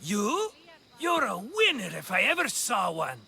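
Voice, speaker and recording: An actor playing a cheerful, scheming swindler in a video game, wheedling and theatrical. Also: male voice, sits high, wildly swooping pitch, a little slow, creaky, sharp tone, a little hiss or hum, full character voice. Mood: excited scheming. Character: scheming, cheerful, fast-talking swindler friend of the heroine. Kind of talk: video game cutscene acting.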